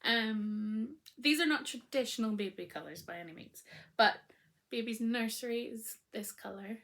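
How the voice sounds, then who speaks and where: A woman hosting a casual crafting podcast, her voice still croaky after illness, talking in a chatty, rambling way with frequent ums. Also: a little high, moderate pace, fairly bright tone. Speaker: knitting podcast host.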